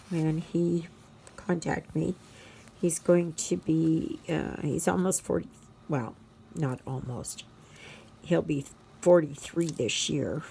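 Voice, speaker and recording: A Canadian woman in her sixties talks at a very unhurried pace as she stitches at home. Her voice sits low and carries a gravelly fry, and she wanders from thought to thought with plenty of ums. Now and then she drops to a quiet murmur to herself over her work. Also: slight background noise. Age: 60s. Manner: slow, reflective, rambling with many fillers; murmurs softly to herself while working. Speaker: stitching channel host. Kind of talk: casual chat while stitching.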